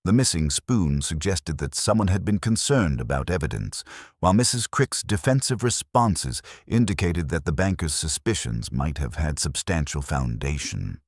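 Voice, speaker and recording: A man reading scripted mystery audiobook narration in a measured, dry, formal storytelling manner. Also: rumbling low, big pitch swings, husky, no room sound.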